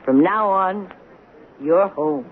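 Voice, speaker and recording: An actress playing a serene, shrewd, motherly woman in her eighties in a radio drama, knowing and gently teasing. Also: medium pitch, slow, lightly acted. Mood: tender, hospitable. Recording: muffled narrow-band radio broadcast, noisy.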